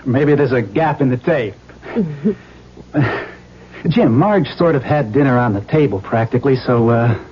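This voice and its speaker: An actor playing an anxious, respectable banker, hesitant and placating, in an old-time radio drama. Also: male voice, mid-range voice, slow pace, pauses often, breathy edge. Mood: uneasy. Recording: dull old radio recording.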